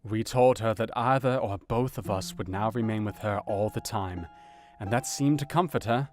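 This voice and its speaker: Male doctor actor, diary narration for audio drama: lowish pitch, anxious, formal, measured, over prominent guitar music. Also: natural voice. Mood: sombre, measured. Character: grave, anxious, educated male doctor recounting his diary.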